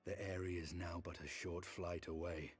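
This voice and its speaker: An actor playing a grave, battle-worn fantasy knight in a video game, speaking in measured, formal archaic phrasing. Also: male voice, sits low, level tone, slightly rough. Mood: grave, reflective. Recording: game audio with music behind.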